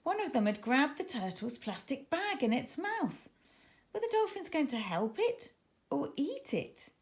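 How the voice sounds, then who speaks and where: A woman reading her children's book aloud as a dramatic, suspenseful and expressive narrator. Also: sits high, a little slow. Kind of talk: expressive scripted story narration.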